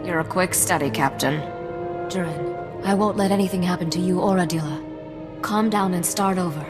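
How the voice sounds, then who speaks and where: Shrewd woman mechanic for a space smuggler game, played by an actress: dry, wry, lowish voice, music underneath. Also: normal speed, in a large hall, close to an everyday voice. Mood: cool, focused. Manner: dry, sardonic, self-assured. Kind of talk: video game cutscene acting.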